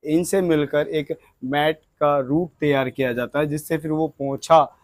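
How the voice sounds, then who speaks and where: High-pitched male field reporter, dry sound. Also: steady tone, unhurried, no echo. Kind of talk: on-location news interviewing with spoken explanation. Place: handloom workshop.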